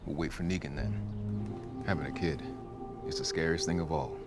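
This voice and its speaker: Brief: a grave, protective single father, very deep voice, drama score underneath. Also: steady tone, moderate pace. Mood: reflective. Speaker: parent in a TV drama clip. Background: music score.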